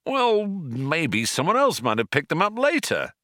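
An impatient, careless man as a male reader puts him on, blustering and easily exasperated. His pitch swoops wildly, in a dry storybook recording. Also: wildly swooping pitch, measured pace, sharp tone, dry recording, exaggerated voice. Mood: defensive, flustered. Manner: blustering, hesitant stretched words, exasperated.

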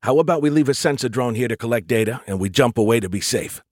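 A man's voice: medium pitch, quick pace, darkish tone, no echo, clean studio sound, lightly acted. A man voicing a blunt, commanding military starship captain in an audiobook, urgent and sarcastic. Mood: wary.

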